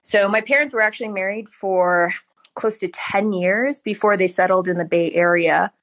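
A woman's voice: mid-range voice, moderate pace, a bit bright. A woman in her thirties from California telling her life story as a podcast guest. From California, USA. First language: Mandarin Chinese. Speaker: podcast guest, startup founder. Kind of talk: conversational interview storytelling.

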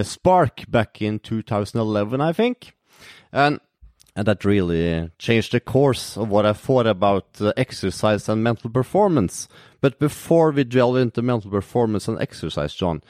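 A man's voice: lowish voice, a little mellow, dry recording, studio quality. A man from Norway with a Norwegian accent, a non-native English speaker, hosting a podcast and hesitantly searching for words. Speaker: podcast host. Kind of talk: podcast introduction and interview.

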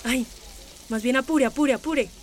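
A woman's voice: fairly high pitch, measured pace, a loud crowd, natural voice. A woman with a Colombian accent playing an impatient, bossy restaurant co-worker in an audio drama, urgent and colloquial. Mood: impatient nagging. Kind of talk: audio fiction acting. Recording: clear kitchen sizzle and noise.

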